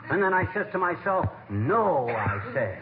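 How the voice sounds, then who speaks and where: A grumbling, bumbling American husband in 1940s radio comedy. His high voice swoops around with folksy, slangy exclamations. The recording is tinny, with clear noise. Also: big pitch swings, moderate pace, echoey room. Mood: wisecracking.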